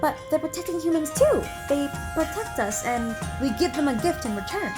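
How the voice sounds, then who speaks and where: A woman's voice: high voice, big pitch swings, moderate pace, music in the background, voice pushed up. A woman voicing an earnest, trusting young child, naive and insistent, in a hobby read-aloud of a game story. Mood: earnest, hopeful. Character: earnest, trusting young child who believes in good monsters.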